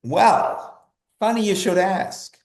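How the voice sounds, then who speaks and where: A man's voice: sits high, lively intonation, slow pace, gravelly, a little mellow. A man answering casually as an interview guest, repeating phrases for emphasis. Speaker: guest, writer. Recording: echoey room.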